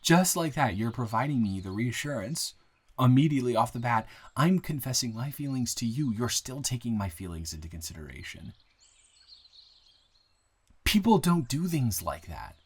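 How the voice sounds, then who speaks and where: A man playing an earnest, grateful young man shyly confessing love to a friend in an intimate, halting, improvised audio roleplay. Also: medium pitch, big pitch swings, husky. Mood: vulnerable, affectionate. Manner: halting, rambling, earnest, breaking into soft laughs.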